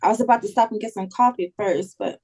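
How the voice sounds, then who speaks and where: A woman playing an anxious but decisive friend in a dramatic table read, casual, direct and expressive. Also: mid-range voice, fast talker, natural voice. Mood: casual, curious.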